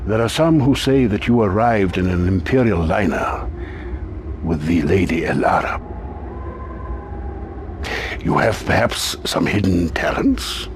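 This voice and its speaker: An actor playing a proud, gruff military mentor in a game cutscene, with declamatory, emphatic delivery and dramatic pauses. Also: male voice, sits low, noisy background. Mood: pointed, commanding. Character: proud, gruff male military mentor loyal to his Duke. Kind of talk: theatrical game cutscene acting.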